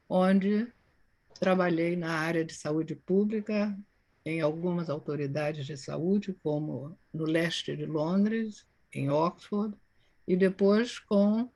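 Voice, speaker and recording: A woman's voice: low voice, gravelly, darkish tone, breathy edge. An older woman with a Brazilian accent, introducing herself formally and courteously as a doctor at an online event. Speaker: panelist, public health doctor.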